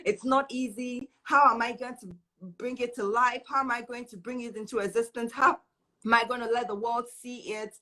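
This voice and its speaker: A woman's voice: fairly high pitch, fairly even intonation, moderate pace, bright, forceful tone, poor audio. A woman hosting a live online show, chatting casually and showering her guest with encouragement, often repeating herself. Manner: effusive, encouraging, repeats phrases. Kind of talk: casual live-stream chat.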